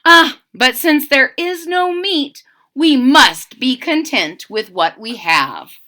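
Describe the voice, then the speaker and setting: A woman's voice: sits high, expressive, slow pace, bright, forceful tone, voice pushed up, heavily acted. A woman doing a crafty, mock-resigned soldier.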